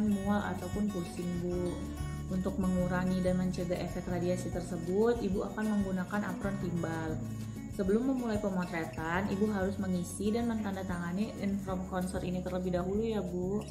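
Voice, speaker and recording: A woman's voice: fairly low pitch, steady tone, moderate pace. A young adult woman, politely explaining things to a patient in a rehearsed clinical role-play. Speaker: student operator addressing a patient. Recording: roomy echo with music behind.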